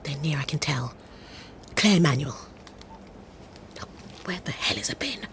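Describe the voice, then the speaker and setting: An actress in a radio drama playing a brisk, resourceful woman fraudster on the run. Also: low-pitched, lively intonation, background noise. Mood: tense.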